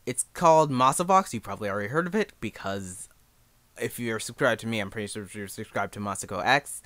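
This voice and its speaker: A young man giving a casual, unscripted tutorial in a rambling, halting, self-deprecating way. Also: lowish voice, fairly bright tone, dry recording. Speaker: amateur voice actor giving advice.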